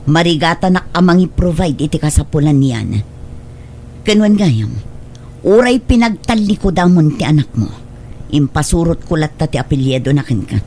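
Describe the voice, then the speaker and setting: A woman's voice: sits low, animated, normal speed, a little mellow, a little hiss or hum. A woman playing a hurt, long-suffering single mother in a radio drama, pleading for her child in an Ilocano accent. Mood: reproachful. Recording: music bed under the voice.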